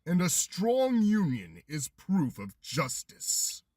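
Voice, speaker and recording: A man's voice: a little high, huge pitch swings, slow pace, darkish tone, dry recording, exaggerated voice. A man playing a ruthless, power-hungry Keyblade master in a game fandub, speaking in a solemn, commanding manner. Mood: stern, challenging. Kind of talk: anime-style game fandub acting.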